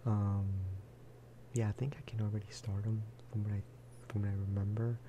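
Softly whispering man, low and even. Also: normal speed, highs cut off, background noise. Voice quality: soft, breathy whisper. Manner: relaxed, casual, gentle. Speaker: video game streamer and host. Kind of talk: soft-spoken ASMR gameplay commentary.

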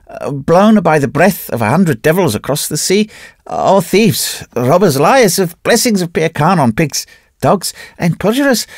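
A man voicing a wild, ranting wandering holy man who shouts his proclamations in a sing-song way. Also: sits high, dry recording, clean studio sound, voice pushed up.

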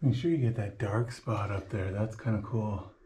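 A man's voice: on the low side, moderate pace, mellow, roomy sound, slight background noise. A man chatting casually on a road trip, pointing out sights in a plain, matter-of-fact way. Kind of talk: casual chat.

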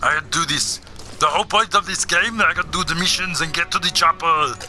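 A very bright, piercing male voice, pitched on the high side. He commentates on a video game in a blustering, boastful way, shouting taunts, with some music behind him. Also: brisk. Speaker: gaming video host. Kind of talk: excitable gameplay commentary in character.

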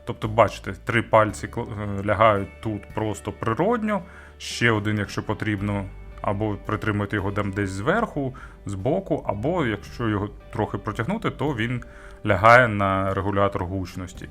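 Low-voiced man reviewing a gadget over music. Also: expressive, fairly bright tone. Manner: conversational, explanatory, hesitant pauses mid-sentence. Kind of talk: semi-scripted product review talk.

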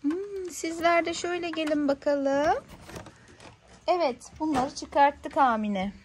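A woman's voice: high voice, takes it slowly, a little hiss or hum. A woman in a playful toy unboxing, talking to a small child in an encouraging way with lots of questions. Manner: encouraging, question-filled, child-directed talk. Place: indoors on a living-room sofa.

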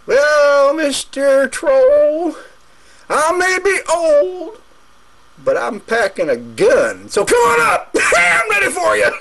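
A performer voicing a cocky old billy goat who taunts a troll, in a high, shouting voice. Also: sing-song, slow, a little hiss or hum, heavily acted, poor audio.

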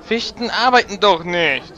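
Sharp, exasperated young stallion defendant acted by a man. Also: high voice, animated, unhurried, exaggerated voice. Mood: incredulous. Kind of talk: fan audio comedy courtroom drama.

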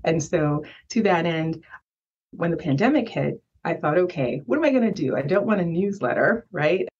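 A woman's voice: lowish voice, animated, normal speed, a little mellow, slightly rough, dull audio. A Haitian-born woman whose first language is Haitian Creole, speaking as a podcast guest, emphatic and often checking in with "right?". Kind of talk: conversational storytelling.

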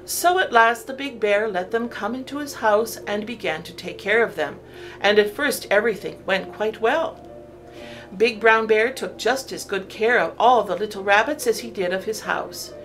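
A woman narrating a children's story from a script, in an expressive, deliberate storytelling way. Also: mid-range voice, faint music behind, poor audio.

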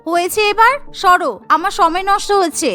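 A woman acting as a young cartoon housewife who is always busy with chores. Her voice is very high and bright. She talks in a fussy, insistent, chatty way over background music. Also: a little fast. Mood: busy, cheerful. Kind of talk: cartoon dialogue.

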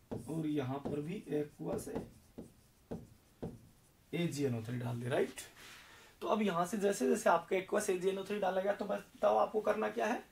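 A hoarse young man teaching chemistry at a board, explaining step by step and asking questions that he answers himself. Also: high-pitched, far from the mic, background noise. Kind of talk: whiteboard lecture. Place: indoor classroom in front of a green board.

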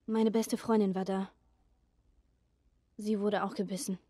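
A woman playing an earnest, vulnerable teenage girl survivor in a video game drama, speaking haltingly. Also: mid-range voice, little pitch movement, warm tone, no room sound, clean studio sound, natural voice. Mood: sad, confessional.

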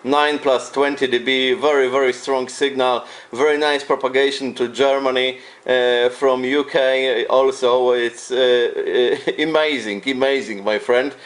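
A man with a Polish accent talks in a casual amateur radio exchange. His English is halting and repetitive, and his manner is courteous and friendly. His voice sits at a medium pitch. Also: steady tone. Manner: hesitant, repeats phrases; friendly, polite.

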